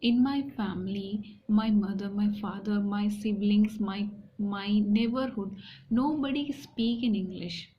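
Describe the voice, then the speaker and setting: A woman from India with an Indian accent, speaking hesitant, halting English with repeated phrases in a personal talk to viewers. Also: mid-range voice. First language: an Indian language alongside Hindi. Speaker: vlogger. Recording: dull lo-fi sound, faint background noise.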